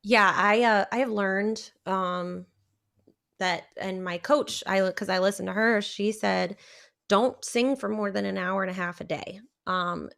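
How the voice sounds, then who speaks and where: A woman's voice: mid-range voice, steady tone, unhurried, creaky, a bit bright. A woman in her thirties, a rock singer, chatting casually and rambling with lots of fillers on a livestream. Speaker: rock singer and stream co-host.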